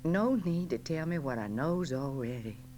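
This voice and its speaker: A woman's voice: low-pitched, animated, normal speed, music in the background. A woman playing a dying old Southern mammy in an audiobook, soothing, folksy and scolding in a devoted way. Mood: gently consoling. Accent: American South. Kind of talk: dramatic audiobook character acting.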